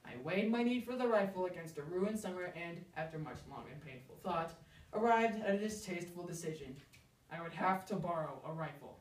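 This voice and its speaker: A storyteller delivers comic first-person narration with theatrical emphasis, at a middling pitch. The voice sits a bit back from the microphone in a roomy, echoing space. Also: expressive, fairly quick. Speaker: storyteller reciting a humorous story. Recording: echoey room, distant microphone.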